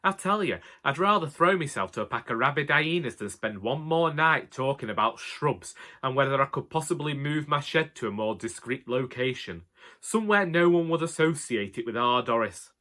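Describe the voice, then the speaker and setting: Man playing a grumbling old Northern English husband, bright-voiced. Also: medium pitch, animated, moderate pace. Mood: wry grumbling. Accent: Northern English, Cheshire. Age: older. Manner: wry, colloquial, exasperated. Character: grumbling henpecked old Northern husband, dryly comic storyteller. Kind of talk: comic dramatic monologue narration.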